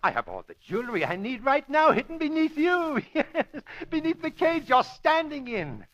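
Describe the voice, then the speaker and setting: Megalomaniac radio-drama villain, high creaky voice, slow gleeful menace, theatrical, old recording with faint noise. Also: male voice, wildly swooping pitch, no echo, muffled recording, full character voice. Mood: manic glee. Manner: theatrical, menacing, gleeful, swings between hushed and frenzied. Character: gloating, unhinged villain, megalomaniac animal trainer. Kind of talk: old-time radio crime drama acting.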